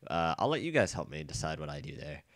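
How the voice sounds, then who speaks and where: A young man with a bad cold and a nasal, stuffy voice, chatting casually and rambling excitedly while playing a game. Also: a bass voice, fast talker, gravelly, dry recording. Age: young adult. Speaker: let's play gamer. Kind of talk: casual gameplay commentary. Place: dorm room.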